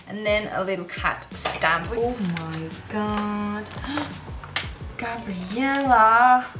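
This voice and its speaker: A young woman whose first language is Dutch, chatting excitedly in English as she opens mail at her desk at home, often breaking off and restarting. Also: medium pitch. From Netherlands. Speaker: stationery vlogger. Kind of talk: casual unboxing chat.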